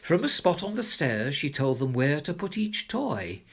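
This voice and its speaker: Male narrator for rhyming children's storybook: theatrical, highly varied pitch, slow pace, slightly husky. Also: sing-song. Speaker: narrator, reader of children's poems.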